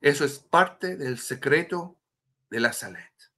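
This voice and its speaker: French guy speaking spanish, middle-aged, bright forceful voice, earnest, keeps restarting words, webcam call from home. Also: a little high, animated, slow. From France. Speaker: author and guest. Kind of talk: impassioned storytelling on a video call. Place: home room with a bookshelf and statue. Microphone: webcam on a video call.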